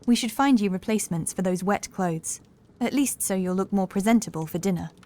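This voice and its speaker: A gracious housekeeper from a Gothic horror audiobook, acted by a woman, with a medium-pitched voice. She is polite and genteel in her phrasing, yet quietly insistent. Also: a little fast, close to an everyday voice. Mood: brisk, hospitable.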